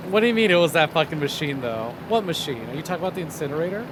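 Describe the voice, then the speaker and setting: A man reading a visual novel on stream, with expressive story narration and casual commentary. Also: a little high, very fast, background noise. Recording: loud music behind the voice. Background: game music.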